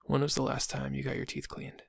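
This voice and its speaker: Man playing a gravelly, subtly sinister receptionist. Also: medium pitch, monotone, fairly quick, no room sound. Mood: friendly, businesslike. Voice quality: gravelly, husky. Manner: casual, polite, quietly insinuating. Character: friendly, subtly sinister male dental office receptionist. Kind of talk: intimate audio roleplay acting.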